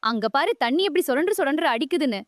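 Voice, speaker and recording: A woman's voice: high-pitched, lively intonation, fast talker. A woman voicing a sensible, caring young fawn in a children's cartoon, speaking in an earnest, cautioning way. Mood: worried, cautioning. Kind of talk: children's animated moral story dialogue.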